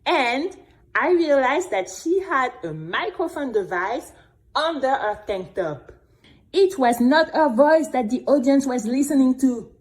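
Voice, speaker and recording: A woman acts an earnest young female contestant narrating an audio drama. Her voice is high and very animated, with deliberate, unhurried, indignant storytelling. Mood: earnest, confiding. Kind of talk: scripted dramatic first-person storytelling.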